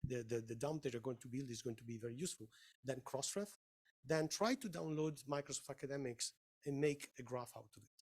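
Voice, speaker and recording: A man's voice: medium pitch, a little fast, a little mellow. A man presenting on a conference panel, explaining emphatically and checking in with his listeners. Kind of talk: conference Q&A answer.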